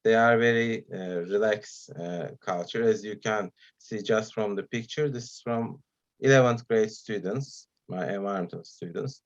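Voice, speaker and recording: Hesitant Turkish man, low muffled call. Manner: hesitant, many pauses and fillers. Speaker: guest speaker. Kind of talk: online talk with slides.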